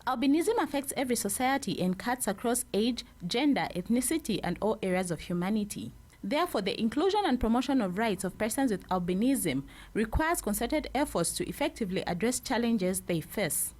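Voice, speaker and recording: A woman's voice: fairly high pitch, expressive, fairly quick, a little mellow. A woman with a Zambian accent narrating a scripted news report as a reporter.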